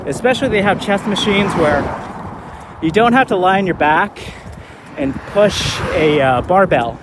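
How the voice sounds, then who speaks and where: Man, thirties, high voice, casual rambling vlog, outdoor road noise. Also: unhurried. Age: 30s. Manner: relaxed, rambling, many fillers. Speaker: vlogger. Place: outdoors by a lagoon, skating.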